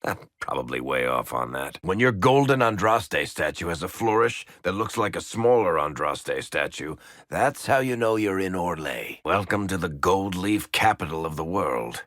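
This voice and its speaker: A man's voice: sits low, a bit bright, no echo. A man voicing a wry dwarf storyteller and rogue in a video game, full of dry, sardonic quips. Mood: wry complaint. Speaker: video game companion character.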